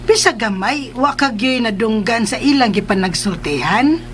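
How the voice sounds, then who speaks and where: An actress playing a patient, caring middle-aged mother in a radio drama, with a gentle, unhurried manner and a Filipino accent. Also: fairly high pitch, expressive, a little hiss or hum, close to an everyday voice. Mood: concerned, curious. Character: patient, caring mother who cooks for family.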